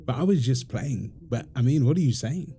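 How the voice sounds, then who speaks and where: A man playing a playful, affectionate young father in an intimate roleplay audio, chatting casually and softly as he teases. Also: medium pitch, lively intonation, moderate pace, slight background noise, lightly acted. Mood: surprised, teasing. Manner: teasing, soft-spoken, chuckling, informal.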